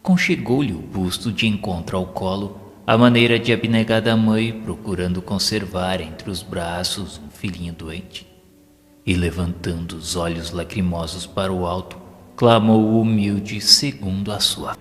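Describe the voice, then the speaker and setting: A man's voice: sits low, steady tone, measured pace. A man narrating an audio drama from a script in a solemn, formal, literary manner. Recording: long hall reverb. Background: background music.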